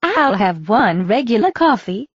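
A man putting on a high voice to play an offended woman customer in a coffee shop. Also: big pitch swings, measured pace, slightly rough, no echo, lo-fi sound, full character voice. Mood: indignant. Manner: indignant. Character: rude, huffy female coffee customer.

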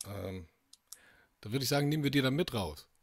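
A young man with a husky voice chatting casually as a player in a roleplaying game from home. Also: medium pitch, lively intonation, fairly quick. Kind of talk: casual improvised roleplay chat. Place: dim home room, online video call.

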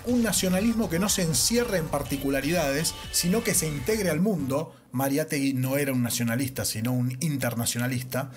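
A man's voice: on the high side, fairly quick, soft background music. A man with an Argentine accent narrating a scripted educational podcast as its host. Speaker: podcast host, social sciences teacher.

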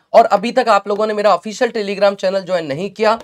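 A man's voice: high voice, steady tone, normal speed. A young man with a sore throat teaching a live online class, chatty and encouraging, mixing English phrases into his talk. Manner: chatty and encouraging, mixes English phrases, addresses students as beta. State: sore throat, clearing it often. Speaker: online exam-prep teacher.

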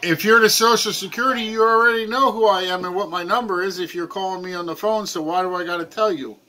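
A man in his forties with a high, bright voice plays dumb on a call with a scammer. He answers loudly and earnestly, as if taking every threat at face value. He records on a camera set on a table in a small room at home, with faint noise behind him. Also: fairly even intonation, fast. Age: 40s. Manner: playing dumb, loud and earnest on the phone. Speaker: prank caller baiting a phone scammer. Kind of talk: deadpan prank phone call. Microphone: camera on a table, phone held to ear.

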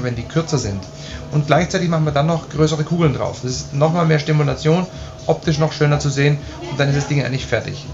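A man's voice: medium pitch, vocal fry. A man in his forties with an Austrian accent, explaining piercing aftercare to the camera in a chatty, reassuring way, with many fillers, in a small tiled studio room. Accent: Austrian German. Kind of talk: casual explainer tutorial. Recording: dull sound with loud background hiss.

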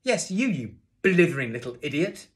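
A menacing, contemptuous schoolmaster from a children's storybook, acted out by a male reader. He barks like a drill sergeant, with the voice pushed well up in pitch. He is suspicious and bullying. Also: very animated, normal speed, natural voice. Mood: barking fury. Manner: shouting, barking, contemptuous, menacing.

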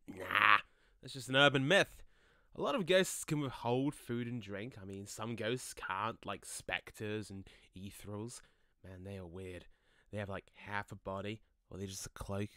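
A man's voice: on the low side, measured pace, pushed and bright, dry recording, clean studio sound. A man acting in an audio play as a jokey, laid-back ghost with a casual, slangy, rambling way of talking. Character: chatty, jokey young male ghost, laid-back slacker.

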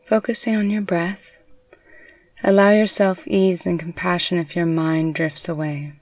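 A woman narrating a guided meditation in a soothing, unhurried, gently instructive way. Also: fairly low pitch, flat delivery, no echo. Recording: distant mic with faint background noise.

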